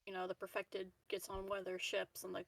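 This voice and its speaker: A woman's voice: medium pitch, completely flat delivery, brisk, vocal fry, fairly bright tone. A woman playing a tabletop game, chatting casually as she joins in. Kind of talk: casual chat. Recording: distant from the mic, no echo.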